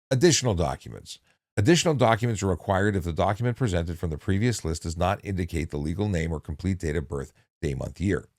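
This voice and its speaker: A man reading instructional material in a measured, deliberate way, with casual off-the-cuff asides. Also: deep voice, darkish tone, dry recording, studio quality. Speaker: narrator reading a handbook with commentary.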